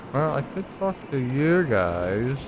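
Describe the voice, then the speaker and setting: A woman's voice: rumbling low, sing-song, slow pace, poor audio. A woman streaming a video game with off-the-cuff, blunt, sardonic commentary and some swearing. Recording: game music playing behind.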